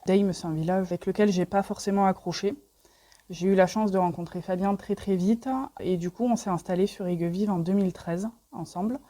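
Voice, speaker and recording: A woman's voice: mid-range voice, steady tone, brisk, warm tone. A woman in her thirties from the Paris region, chatting enthusiastically in an interview about her village and her family's garage. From Paris region, France. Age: 30s. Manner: enthusiastic, chatty, frequent fillers. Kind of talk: casual interview answers.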